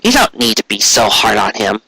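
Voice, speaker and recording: Man voicing a gentle female pony office assistant. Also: on the low side, wildly swooping pitch, moderate pace, creaky, husky, no echo, heavily acted, low-quality recording. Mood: gentle, pleading.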